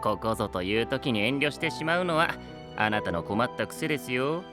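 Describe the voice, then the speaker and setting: A man's voice: mid-range voice, expressive, moderate pace, over music, close to an everyday voice. Man acting polite, lilting young knight. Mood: amused. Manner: soft polite formal speech. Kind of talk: anime-style voice drama acting.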